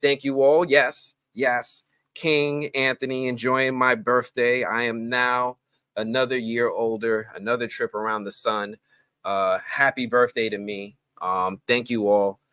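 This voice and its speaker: A man hosting a live stream, chatting casually and greeting viewers in slang-heavy talk, his voice jumping up with excitement. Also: medium pitch, unhurried, clear, resonant tone, dry recording. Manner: excitable, voice jumps up when greeting people; relaxed slang-heavy talk with many fillers.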